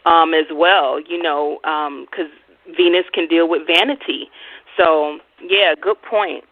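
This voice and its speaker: A young woman co-hosting a call-in astrology show. She talks casually, with hesitant ums and quick laughs. Her voice comes through a thin, phone-like line. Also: sits low, fairly even intonation. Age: young adult. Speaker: astrology talk show co-host. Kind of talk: casual chat.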